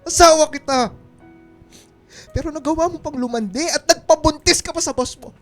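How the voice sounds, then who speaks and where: A man's voice: very high-pitched, moderate pace. An actor playing a betrayed young husband and father in a Filipino radio melodrama, emotional and pleading. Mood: tearful, betrayed. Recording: music bed behind the voice.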